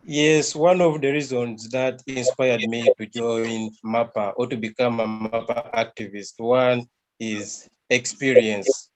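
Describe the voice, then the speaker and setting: A man's voice: mid-range voice, steady tone, slow pace, darkish tone, rough recording. A man answering on a panel, telling his story and posing and answering his own questions. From eastern Uganda. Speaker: panelist, climate activist.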